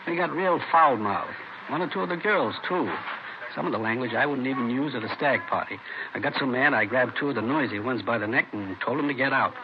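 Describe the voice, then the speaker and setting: An actor playing an agitated, indignant American movie theater manager in a 1950s radio crime drama. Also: male voice, medium pitch, fairly quick, natural voice. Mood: indignant, shaken. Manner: agitated, colloquial. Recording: noisy, muffled old radio recording.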